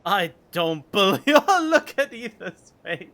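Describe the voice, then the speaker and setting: A man giving playful, theatrical gameplay commentary into a close microphone, jokey and excitable in his reactions. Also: high-pitched, a little slow. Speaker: streamer playing a game. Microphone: close studio microphone. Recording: game music behind the voice.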